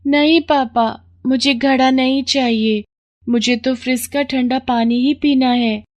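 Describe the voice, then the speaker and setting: Casting: small girl, about six, high voice, whiny and insistent, for a cartoon moral tale played by an adult woman. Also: fairly even intonation, takes it slowly, a little mellow, no echo, highs cut off. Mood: pleading, insistent. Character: pleading, insistent six-year-old girl, poor labourer's daughter. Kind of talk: animated moral story voice acting.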